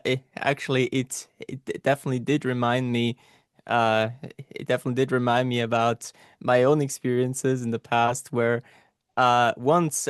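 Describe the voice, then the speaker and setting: A man hosting a support group on a video call, at a middling pitch, stuttering through repeated sounds and fresh starts. His voice is very dry and close, with no room sound at all. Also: smooth, no echo. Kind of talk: casual group discussion.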